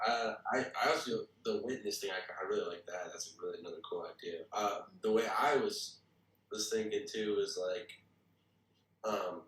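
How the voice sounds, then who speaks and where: A man's voice: deep voice, fairly bright tone. A man giving casual feedback in a workshop discussion, phrasing things loosely and hesitantly with lots of filler hedges. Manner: hesitant phrasing, hedging with many "like" and "kinda". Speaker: participant giving script feedback at a table read. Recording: echoey room, far from the mic.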